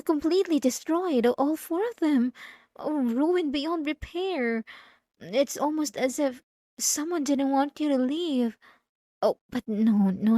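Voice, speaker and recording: A woman playing a sweet, devout, obsessive young church girl in an ASMR roleplay, speaking haltingly with apologetic, feigned innocence. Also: high voice, a little mellow, no echo. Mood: feigned concern. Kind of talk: ASMR roleplay drama, addressed to the listener.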